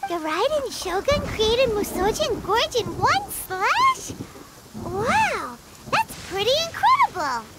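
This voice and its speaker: A woman voicing a tiny, chirpy, curious fairy companion with a squeaky childlike voice in a video game. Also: huge pitch swings, slow, very noisy, heavily acted. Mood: amazed. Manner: speaks of self in third person, exclaiming. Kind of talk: cartoonish video game voice acting.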